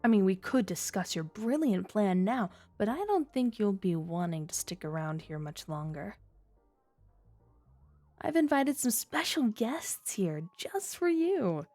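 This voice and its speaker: A woman's voice: mid-range voice, expressive, measured pace, warm tone, soft background music. A woman playing a flirty, scheming underworld informant in an ASMR audio drama, with a teasing, coy, mock-sweet delivery. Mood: smug.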